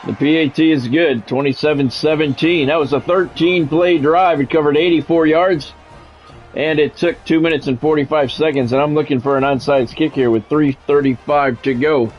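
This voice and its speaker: A man's voice: fairly high pitch, fairly even intonation, normal speed, soft background music, poor audio. A man doing football play-by-play as a game streamer, in short clipped announcer-style calls mixed with casual chat to viewers.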